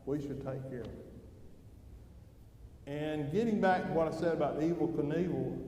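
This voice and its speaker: A man's voice: on the high side, normal speed, dark tone. An older man giving a church devotional in a folksy, conversational manner, putting questions to his listeners. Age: older, grandfather. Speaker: devotional speaker at a church service. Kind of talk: devotional talk. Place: church hall during an evening service. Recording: roomy, echoing hall with background noise.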